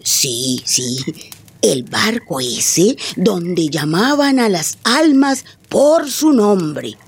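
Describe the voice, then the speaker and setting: Radio drama: elderly grandmother storyteller, husky, slow, animated, tender yet firm. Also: fairly low pitch, exaggerated voice. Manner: unhurried, dramatic oral storytelling.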